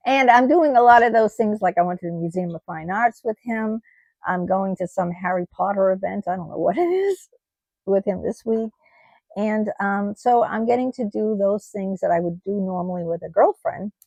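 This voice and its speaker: A middle-aged woman from the United States talking in a personal vlog, halting with many ums and restarts, her voice tired and starting to go. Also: medium pitch, darkish tone, dry recording, rough recording. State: losing her voice. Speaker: vlogger.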